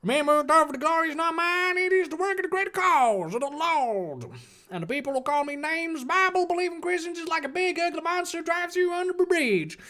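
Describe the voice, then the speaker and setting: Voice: very high voice, animated, brisk, bright, forceful tone, pitched above the performer's own voice, full character voice. A reader voicing a folksy, gushing, self-righteous devout mother in a mock-dramatic fan fiction reading. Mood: preachy, self-righteous. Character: preachy, folksy devout mother writing author's notes.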